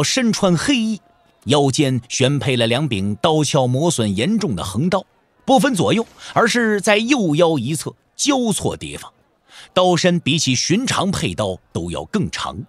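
A male narrator tells a martial-arts tale with theatrical emphasis. His voice sits slightly high and moves widely in pitch, drawing out key endings, in a dry studio sound. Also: big pitch swings, a little slow, dry recording. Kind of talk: dramatic scripted audiobook narration. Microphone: close studio microphone.

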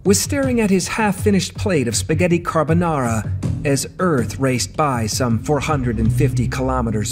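A male narrator reads a scripted novel in a medium-pitched voice. Music plays clearly underneath his narration.

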